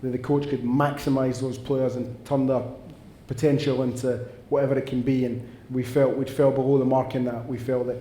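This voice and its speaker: Scottish man, flat and mellow. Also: medium pitch, moderate pace, echoey room, slight background noise. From Scotland. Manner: earnest, emphatic, long-winded. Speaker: football director on a panel. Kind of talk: panel answer at a fans' forum. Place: indoor event room.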